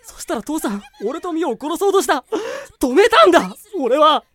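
A haunted young man of nineteen voiced by a woman in a Japanese voice drama. The delivery is halting and emotional, with extremely wide pitch swings. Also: big pitch swings, a bit bright, dry recording. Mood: hysterical, anguished. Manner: emotional, halting, casual masculine speech. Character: distraught nineteen-year-old man, traumatised and haunted. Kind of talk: Japanese amateur voice drama acting.

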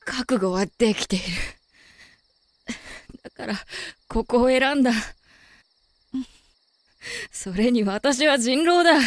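A woman plays a proud werewolf woman in an audio drama. She speaks slowly in a somewhat high voice, cool and blunt. The sound is dry, and her tone is tough and resolute. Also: lively intonation, no room sound. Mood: defiant. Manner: blunt, masculine phrasing, cool. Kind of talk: Japanese amateur voice drama acting.

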